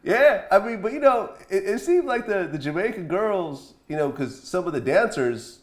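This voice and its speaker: A man's voice: sits high, very animated, normal speed. A man hosting a casual interview, asking colloquial, probing follow-up questions. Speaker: interviewer, host. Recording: echoey room.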